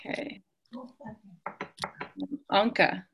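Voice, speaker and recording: Woman host on a video call, unhurried and bright, reads names and nudges people to unmute. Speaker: meeting host. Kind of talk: calling roll on a video call.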